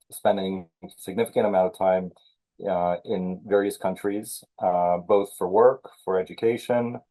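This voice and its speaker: Man, deep voice, dark mellow tone, guest author, measured answers with fillers. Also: rough recording. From Senegal. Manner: measured, many fillers and restarts. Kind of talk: conversational interview.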